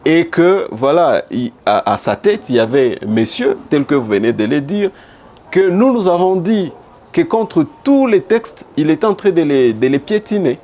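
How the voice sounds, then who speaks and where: A man's voice: fairly high pitch, very animated, a little slow, darkish tone. A man from DR Congo with a Congolese accent, giving emphatic, rhetorically repetitive answers as a politician in a broadcast interview. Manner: emphatic, repeats phrases for rhetorical effect. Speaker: politician and former humanitarian worker being interviewed. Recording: background noise and room ambience.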